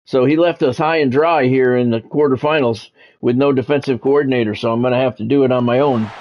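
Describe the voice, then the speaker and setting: A man's voice: medium pitch, steady tone, a little fast, highs cut off. A middle-aged man hosting a livestream, mixing casual chat with announcer-like football play-by-play commentary. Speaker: livestream host, game commentator.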